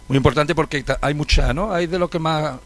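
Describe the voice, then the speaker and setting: A man's voice: fairly high pitch, a little fast. A man talking casually in a football panel debate. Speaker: sports radio pundit.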